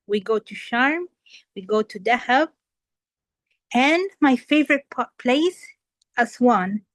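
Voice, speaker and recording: A woman's voice: on the high side, expressive, slow, dry recording. A young woman from Kuwait giving an earnest impromptu speech that addresses the audience directly. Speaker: impromptu speaker. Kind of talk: impromptu table topics speech.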